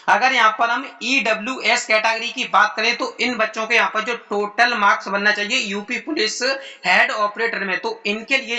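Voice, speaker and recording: Guy doing exam prep explainer for students, really sharp high voice, keeps reusing the same phrasing. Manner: repetitive, formulaic phrasing. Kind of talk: informal explainer addressed to students.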